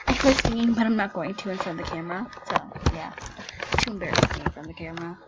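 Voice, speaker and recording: A woman commenting on a game she plays, excitable and jumping between shrieks and hushed whispers, sick and shivering with cold. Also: fairly low pitch, rapid-fire, very noisy. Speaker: game video creator. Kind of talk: casual gameplay commentary.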